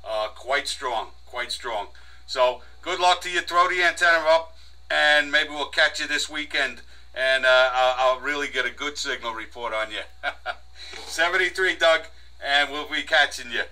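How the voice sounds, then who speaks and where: An American man chats casually as a ham radio operator. His voice is very bright and forceful, at an average male pitch. He sounds some distance from the microphone. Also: unhurried. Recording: distant from mic, harsh home recording.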